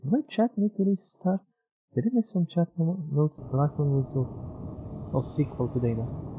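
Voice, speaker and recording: A man's voice: fairly high pitch, expressive, normal speed, warm tone, a little hiss or hum, low-quality recording. A man giving casual live video game commentary as a streamer, thinking aloud, muttering to himself and swearing freely. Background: music.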